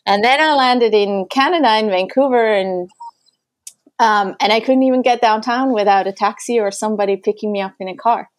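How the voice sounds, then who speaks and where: A woman's voice: on the high side, brisk. Chatty Swiss-born woman telling a story. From Switzerland. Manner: chatty, storytelling, laughs often. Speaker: transport organisation founder. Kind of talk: casual panel conversation.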